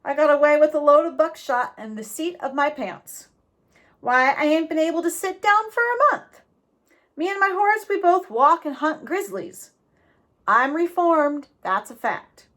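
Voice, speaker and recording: Brief: jovial backwoods outlaw man, put on by a woman reader, drawling, lively pitch. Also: unhurried. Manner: drawling backwoods grammar, chuckling. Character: big folksy reformed outlaw man, jovial.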